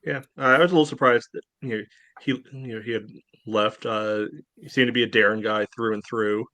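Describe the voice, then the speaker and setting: A man's voice: on the low side, fairly even intonation, fairly quick, bright, forceful tone, no room sound. A man on a sports podcast, talking casually and hesitantly with many "you know"s. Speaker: podcast co-host.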